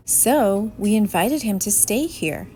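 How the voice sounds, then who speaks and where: A woman voicing a kindly but firm mother from a storybook, in a calm, matter-of-fact manner. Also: mid-range voice, slow, loud music behind the voice.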